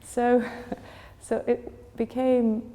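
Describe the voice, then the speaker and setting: A woman's voice: fairly high pitch, flat delivery, very slow, warm tone, in a large hall. A woman in her forties from Chile, answering interview questions thoughtfully, with many fillers and restarts. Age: 40s. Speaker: gallery owner.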